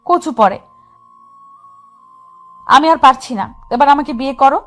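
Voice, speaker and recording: An actress plays a possessive young woman hopelessly in love in an audio romance. She speaks in a high voice with a demanding, scolding edge. Music runs faintly underneath. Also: unhurried, a bit bright. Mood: hurt pleading. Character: bossy, possessive, lovesick senior girlfriend, young woman.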